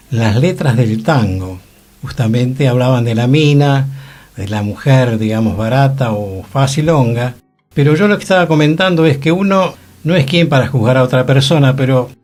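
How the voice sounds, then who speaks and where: A man's voice: mid-range voice, a little mellow. A man with an Argentine accent giving colloquial, conversational commentary on a radio panel.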